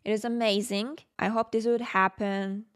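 A woman's voice: mid-range voice, level tone, normal speed, clear, resonant tone. Japanese woman, casual explanatory podcast talk. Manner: conversational, explanatory. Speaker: podcast host.

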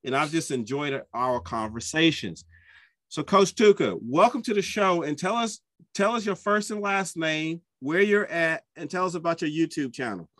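A man hosting a soccer podcast, chatty and enthusiastic, often jumping up in pitch when he gets excited. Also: normal speed, no room sound. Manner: enthusiastic, chatty, with sudden upward pitch jumps. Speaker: podcast host. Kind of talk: casual podcast interview.

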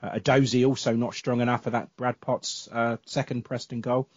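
A man's voice: mid-range voice, flat delivery, moderate pace, no echo, muffled recording. A man hosting a casual football podcast, chatty and full of fillers, with a sore throat and cough. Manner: chatty, filler-heavy, self-correcting. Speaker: podcast host.

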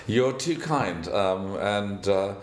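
Older south african guy, lowish voice, thinks out loud with little laughs, roomy sound w faint hum. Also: expressive. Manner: thoughtful, halting, with chuckles. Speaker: guest, banker. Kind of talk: interview storytelling.

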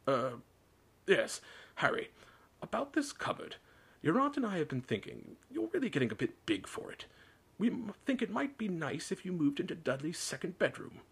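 A man voicing a bullying middle-aged uncle in a storybook, barking, sneering and quick to splutter with rage. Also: a little high, sing-song, breathy edge, pitched above the performer's own voice, full character voice. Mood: forced politeness.

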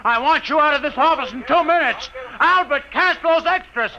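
A man's voice: extremely high pitch, a little fast, sharp tone, heavily acted, poor audio. A man playing an irate, domineering newspaper boss in a vintage radio drama, blustering and commanding. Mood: furious. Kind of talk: 1940s-50s radio drama acting.

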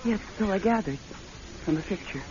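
An American woman playing an earnest, composed bride-to-be in a radio drama, polite and gently persistent. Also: mid-range voice, lively intonation, brisk, natural voice. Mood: anxious, insistent. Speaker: actress in a radio play. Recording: dull lo-fi radio recording.

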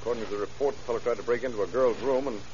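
A man's voice: mid-range voice, steady tone, rapid-fire, a little mellow, lightly acted. An actor playing a determined male police lieutenant in an old radio drama, talking in a clipped, businesslike manner. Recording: muffled, hissy old radio recording.

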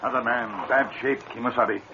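An actor playing a stoic Native companion in an old radio western, speaking terse broken English in a gravelly voice. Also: male voice, mid-range voice, fairly even intonation, fairly quick, natural voice. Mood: grave, watchful. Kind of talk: 1940s-50s radio western acting. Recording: noisy muffled old radio recording.

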